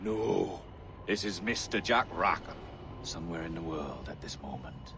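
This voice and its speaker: An actor playing a calm, cunning pirate captain with a measured, wry manner. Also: male voice, deep voice, noisy background.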